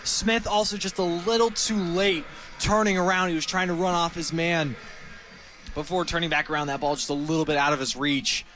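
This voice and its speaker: A man's voice: on the high side, steady tone, moderate pace, pushed and bright, soft background music. A man with an American accent giving live football analysis as a color commentator.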